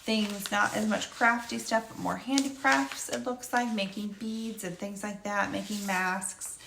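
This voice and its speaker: A woman's voice: medium pitch, steady tone, measured pace, creaky. A middle-aged woman with a slightly scratchy voice, giving a casual, unscripted review in a meandering, conversational way as a homeschooling mother. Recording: echoey room with faint background noise.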